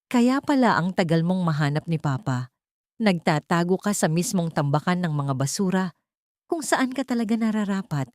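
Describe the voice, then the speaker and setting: A woman's voice: animated, moderate pace, darkish tone, no echo, natural voice. A woman voicing a spiteful, haughty rich young heiress in an audiobook drama, scornful and accusing. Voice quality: shrill.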